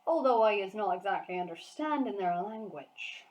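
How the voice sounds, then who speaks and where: A woman's voice: medium pitch, animated, normal speed, a little mellow, close to an everyday voice. A woman voicing a big, friendly male giant from a children's storybook, earnest and emphatic, full of childlike wonder, speaking in quaint muddled grammar. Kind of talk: acted children's storybook dialogue.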